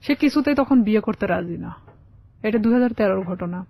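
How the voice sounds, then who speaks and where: Fast-talking Bangladeshi woman, dull sound. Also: medium pitch, animated, gravelly. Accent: Bangladeshi Bengali. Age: young adult. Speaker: guest telling their life story. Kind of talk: personal storytelling in a radio interview.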